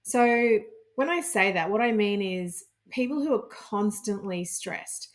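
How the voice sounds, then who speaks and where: A woman giving an explanatory health talk, calm and clear, stressing key points as she teaches. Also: mid-range voice, measured pace. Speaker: health educator.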